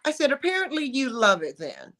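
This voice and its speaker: A woman host leads an interview in a fairly high voice. She showers her guest with gushing praise and playful slang, keeping the tone lively and flattering. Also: fairly quick. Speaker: talk show host. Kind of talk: casual interview.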